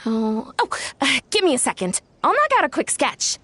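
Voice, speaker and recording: A woman's voice: sits high, huge pitch swings, normal speed, heavily acted. A woman voicing an earnest young event organizer in a video game, chatty, friendly and a little flustered. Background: soft game music.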